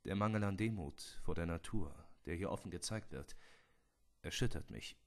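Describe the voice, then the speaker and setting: A man voicing an outspoken, cynical scientist, gravely critical and lecturing in a halting way, in a film scene reenactment. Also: low voice, pitched below the performer's own voice.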